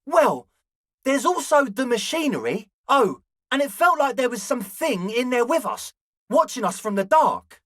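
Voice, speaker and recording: A man's voice: extremely high pitch, very animated, moderate pace, a bit bright, no echo, clean studio sound, heavily acted. A man voicing an eager, flaky young male slacker ringleader in a video game, talking in an earnest, ingratiating, hedging way. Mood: eager, flattering. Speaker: voice actor. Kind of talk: video game character dialogue.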